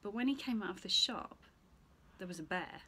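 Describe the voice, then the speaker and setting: A woman's voice: medium pitch, lively intonation, moderate pace, pushed and bright, a little hiss or hum. A woman in her thirties reading a picture book aloud to children as a teacher, asking the listener questions as she goes. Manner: asks the listener questions between story lines. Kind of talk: expressive children's story reading. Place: small bright room indoors, seated in an armchair.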